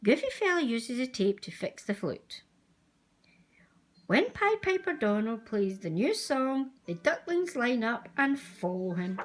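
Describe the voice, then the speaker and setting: A woman reading a children's storybook aloud as a storyteller, with expressive, deliberate delivery. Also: a little high. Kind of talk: scripted storybook narration for young children.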